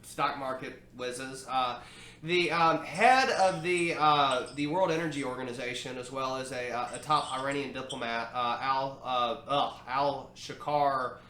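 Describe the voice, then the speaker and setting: A man hosting a casual podcast, speaking haltingly with many filler sounds. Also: medium pitch, sharp tone. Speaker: podcast host. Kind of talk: casual chat. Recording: roomy echo, faint noise.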